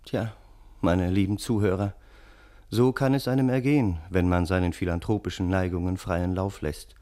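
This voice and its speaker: An actor playing a wry, long-suffering, courteous gentleman who narrates a radio play. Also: low-pitched, steady tone, normal speed, lightly acted. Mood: wry, measured. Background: balalaika music.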